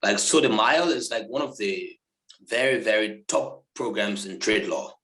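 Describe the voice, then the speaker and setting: A man's voice: fairly low pitch, normal speed, fairly bright tone, reverberant. A young man from Liberia being interviewed over a video call, talking casually with many fillers and repeated words. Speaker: interviewee, law graduate.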